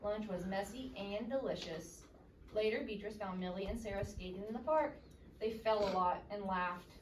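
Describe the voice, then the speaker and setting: A woman's voice: mid-range voice, moderate pace. A woman reading a children's story aloud expressively and interactively, recorded at a distance in an echoey, noisy room. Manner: expressive, questioning teacher-like delivery.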